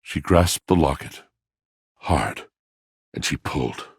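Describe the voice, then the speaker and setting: Brooding, lovelorn game character: bottomless pitch, raspy grain, grandly poetic and mournful. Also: male voice, expressive, slow pace, no echo, clean studio sound, full character voice. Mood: sombre storytelling. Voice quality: gravelly. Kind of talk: theatrical video game character monologue.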